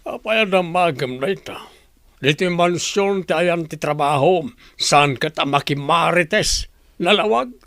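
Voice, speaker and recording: A man's voice: fairly high pitch, measured pace, gravelly, a bit bright, no echo, studio quality. An actor playing a stern, demanding company boss and father in a radio drama, with an Ilocano Filipino accent. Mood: irritated.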